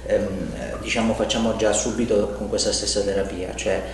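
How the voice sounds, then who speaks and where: A man's voice: lowish voice, flat delivery, normal speed, slight background noise. A middle-aged man, a chiropractor explaining posture in a didactic way and often checking that he is understood. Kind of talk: explaining posture during a filmed treatment. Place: treatment room with wooden floor and furniture, indoors. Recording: echoey room sound.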